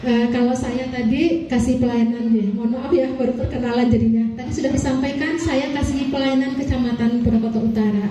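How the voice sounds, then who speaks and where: A woman's voice: a little high, steady tone, brisk, few pauses, a crowd in the background. A woman from Aceh giving a formal talk to community leaders, hesitant with many fillers and polite apologies. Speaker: speaker at a training session for neighborhood leaders. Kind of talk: formal public address. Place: meeting hall. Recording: echoey hall, voice from far off.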